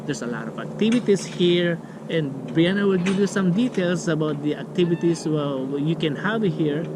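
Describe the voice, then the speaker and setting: A man's voice: a little high, normal speed. A middle-aged man, not a native English speaker, hosting a casual family vlog outdoors and talking with many restarts and fillers. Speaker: vlog host. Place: outdoors at a lakeside park table. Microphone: camera on the table. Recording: outdoor ambient noise.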